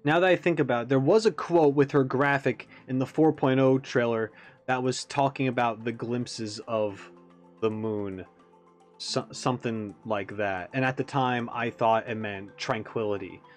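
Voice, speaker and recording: A man giving a casual, animated reaction commentary, thinking aloud as he analyzes like a game fan. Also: medium pitch, measured pace, faint music behind.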